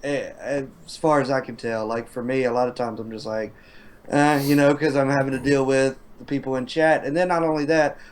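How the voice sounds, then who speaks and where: Man, streamer, casual relaxed talk, medium pitch. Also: fairly quick. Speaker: streamer, guest. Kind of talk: casual chat.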